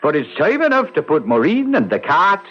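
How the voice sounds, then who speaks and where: An actor playing a roguish, whimsical old Irish uncle in a radio drama, with a folksy, teasing lilt. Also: male voice, a little high, wildly swooping pitch, moderate pace. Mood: warm, mysterious. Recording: dull noisy radio drama recording.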